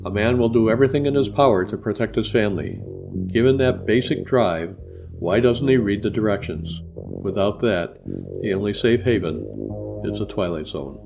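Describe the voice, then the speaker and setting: A man's voice: fairly low pitch, normal speed, creaky, music in the background. A man reading a short story aloud as scripted narration. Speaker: author reading their own short story.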